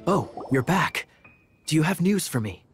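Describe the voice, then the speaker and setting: A man's voice: fairly high pitch, big pitch swings, normal speed, breathy edge, soft background music, heavily acted. A man voicing a suave, teasing, composed young cavalry captain in a video game, playful and faintly theatrical. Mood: sly, amused.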